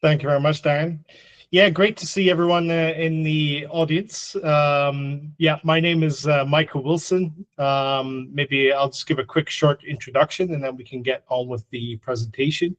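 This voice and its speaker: A man originally from Scotland, raised partly in the Middle East, speaks in a slightly high register for a male voice. He sounds like a company director giving an informal introduction on an online video meeting. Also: fairly even intonation, normal speed, fairly bright tone, low-quality recording. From Scotland, then the Middle East from age ten. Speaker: business development director, guest speaker.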